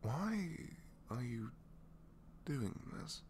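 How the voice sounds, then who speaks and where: A man's voice: low voice, wildly swooping pitch, a little slow, gravelly, fairly bright tone, a little hiss or hum, heavily acted. A man playing a reluctant, secretly kind supervillain inventor in an ASMR roleplay, hesitant, wry and self-deprecating, rambling and sometimes dropping toward a whisper. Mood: wary, surprised. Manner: hesitant, wry, rambling, trailing tag questions, sighs, drops to whispers. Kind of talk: ASMR roleplay audio drama, one-sided conversation.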